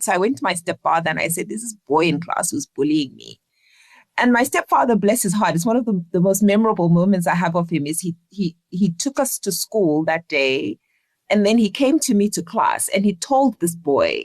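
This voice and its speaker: Low-voiced woman, reflective and earnest. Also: no echo. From Zimbabwe. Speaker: interview guest, leadership coach. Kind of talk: reflective conversational interview.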